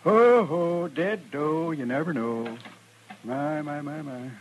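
An actor playing a gullible, excitable old rancher with folksy, rustic speech in an old-time radio western. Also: male voice, a little high, slow, slight background noise, poor audio. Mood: gleeful. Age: older. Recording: vintage radio recording.

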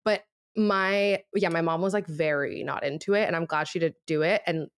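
A woman's voice: fairly low pitch, steady tone, moderate pace, dry recording, studio quality. A woman co-hosting a podcast, casually telling personal anecdotes in informal, conversational speech. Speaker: podcast co-host.

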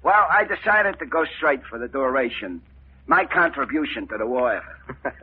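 A sly, genial pickpocket clerk. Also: male voice, medium pitch, sing-song, moderate pace, slightly rough, exaggerated voice. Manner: genial, wisecracking. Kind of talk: 1940s radio acting. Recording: lo-fi old radio broadcast.

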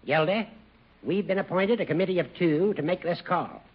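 Husky, formal old judge. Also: male voice, sits high. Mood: cool, stern. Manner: formal, measured, mock-solemn. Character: dry, formal, needling older male judge. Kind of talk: 1950s radio sitcom acting. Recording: muffled vintage radio recording.

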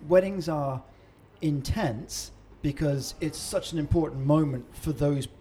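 Casting: chatty male podcast co-host, higher voice, encouraging, light hiss behind. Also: a little slow, mellow. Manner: chatty and encouraging, with lots of "to be fair" asides. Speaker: podcast co-host and wedding celebrant. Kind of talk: casual podcast chat.

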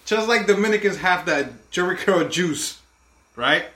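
A man who grew up in Washington Heights, New York, co-hosting a casual podcast chat, animated and joking with frequent laughs. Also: high voice, measured pace, roomy sound. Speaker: podcast co-host. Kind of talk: casual chat over drinks.

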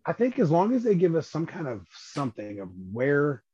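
A man co-hosting a horror podcast in an easygoing, loose way, cursing now and then. His voice is a little husky. The recording sounds dull and lo-fi. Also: a little high, very animated, moderate pace, dark tone. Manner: laid-back, swears casually, playful. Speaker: podcast co-host. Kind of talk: casual horror movie discussion.

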